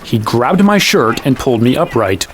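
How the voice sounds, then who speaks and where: A man's voice: mid-range voice, big pitch swings, moderate pace, a little hiss or hum, lightly acted. An actor playing a young man who narrates his own story in scripted first person. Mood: measured narrating.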